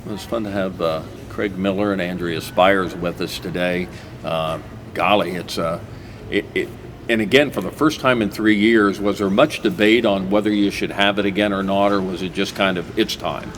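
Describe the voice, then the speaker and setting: Deep-voiced guy hosting a hometown chat show, lots of uhs and restarts, hissy noisy recording. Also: fairly even intonation, normal speed, a bit bright. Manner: rambling, with many "uh"s and restarts. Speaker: host. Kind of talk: casual local-TV interview chat.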